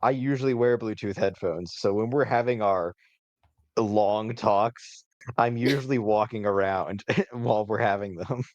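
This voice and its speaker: A man's voice: fairly low pitch, measured pace, darkish tone, dry recording. A man chatting casually and teasing playfully over slightly dull voice chat audio.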